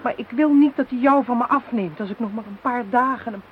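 A woman's voice: a little high, a little fast, lightly acted. A woman acting a passionate, defiant lover facing danger in a radio drama, with intense, earnest delivery. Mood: pleading. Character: passionate, doomed young woman in love, defiant. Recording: thin, phone-like radio recording with hiss.

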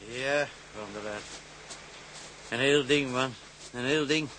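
An actor playing a fussy, money-minded village gravedigger who sells headstones with chatty, hesitant, wheedling patter in a period drama. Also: male voice, medium pitch, takes it slowly, bright, forceful tone, slight background noise, poor audio. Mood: chatty, showing around.

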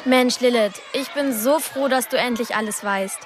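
A woman's voice: a little high, moderate pace, crowd noise, background noise, natural voice. An actress playing a chatty, friendly, slightly anxious twelve-year-old witch girl in a radio drama. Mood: relieved confiding.

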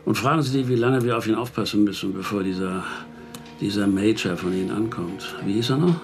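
An actor playing a curt, authoritative and dismissive army colonel in a radio drama. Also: male voice, fairly low pitch, a little fast, soft background music, lightly acted.

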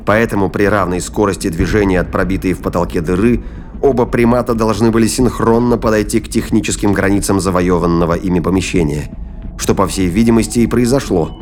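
A man's voice: on the low side, fairly quick, a little mellow, a little hiss or hum. A man reading scripted narration as the narrator of an audio drama.

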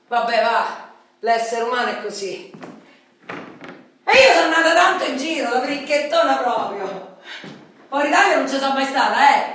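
In a very reverberant room, well away from the microphone, a candid, wounded Roman street woman tells her life as radio theatre. Her voice is fairly high, and her talk is colloquial and rambling, the confiding speech of a former convict and addict. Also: a bit bright, slight background noise. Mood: resigned, then lively, nostalgic. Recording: very echoey room, distant microphone.

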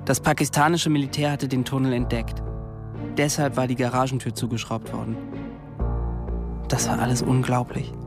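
A man's voice: medium pitch, little pitch movement, moderate pace, pauses often, over music, lightly acted. An actor playing an earnest, inquisitive young man in a radio drama, delivering terse short phrases. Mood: intent, narrating. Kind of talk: radio drama narration and dialogue.

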